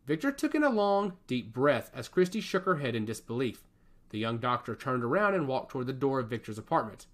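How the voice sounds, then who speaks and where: A man narrating a science fiction audiobook in an expressive storytelling manner. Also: mid-range voice, brisk.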